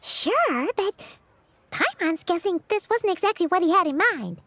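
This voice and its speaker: A woman's voice: very high-pitched, sing-song, unhurried, fairly bright tone, dry recording, heavily acted. A woman voicing a small, chatty, childlike female fairy companion in a video game, with an exclaiming manner. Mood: curious. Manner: exclaiming, refers to self in third person. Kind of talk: animated video game voice acting.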